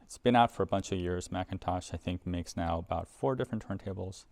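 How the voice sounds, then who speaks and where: A man's voice: low voice, brisk, vocal fry, a little mellow, no echo, clean studio sound. A man giving a casual, explanatory product demonstration in an informal way, as a presenter for an equipment seller.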